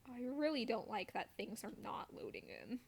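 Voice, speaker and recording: A woman's voice: on the high side, expressive, normal speed, gravelly, darkish tone, breathy edge. A woman streamer chatting casually over gameplay in a playful, wryly self-deprecating way.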